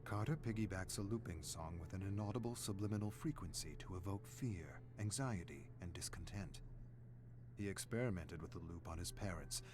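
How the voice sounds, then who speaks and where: A man voicing a deep, brooding horror narrator. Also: normal speed, natural voice. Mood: brooding, menacing. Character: brooding, menacing male narrator of a sadistic doctor's thoughts. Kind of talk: dark scripted horror story narration. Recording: music playing behind the voice.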